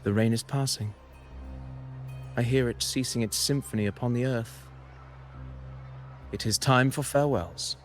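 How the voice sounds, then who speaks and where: An actor playing a calm, enigmatic wandering poet in a video game, speaking in a measured, lyrical and formal way with deliberate pauses. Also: male voice, mid-range voice, faint music behind, close to an everyday voice. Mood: calm, cryptic. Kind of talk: dramatic video game dialogue, poetic narration.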